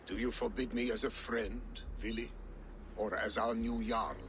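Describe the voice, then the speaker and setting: A man's voice: fairly low pitch, fairly even intonation, moderate pace, lightly acted. A man playing a loyal old Norse warrior in a video game, weary, grave and resolute. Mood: resigned, resolute. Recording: clear ambient noise.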